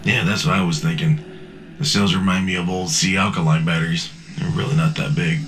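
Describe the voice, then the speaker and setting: A man playing a weathered older scavenger in a sci-fi audio drama, wry, profane and gravelly. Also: deep voice, fairly even intonation, a little fast, a bit bright, a little hiss or hum. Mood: matter-of-fact. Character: weathered older male wasteland scavenger on a respirator.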